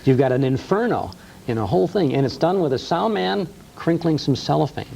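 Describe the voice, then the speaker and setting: Middle-aged man, gravelly, mellow tone, enthusiastic radio host, faint background noise. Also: a little high, animated, fairly quick, rough recording. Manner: enthusiastic, storytelling, frequent uh fillers. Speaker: radio host and museum co-founder. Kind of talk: casual interview chat.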